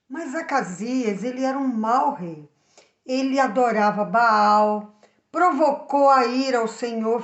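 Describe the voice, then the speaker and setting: A Brazilian woman telling a story to children in a simple, expressive way, addressing her viewers directly. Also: on the high side. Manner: simple, expressive, repeats subjects for emphasis. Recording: echoey room.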